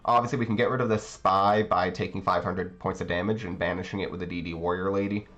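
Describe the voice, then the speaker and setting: A man's voice: low-pitched, fairly even intonation, fast, a bit bright. A man giving casual commentary on his card game matches, thinking aloud and explaining his plays, with a slightly congested voice. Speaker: card game channel host. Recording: slightly dull recording, faint background music.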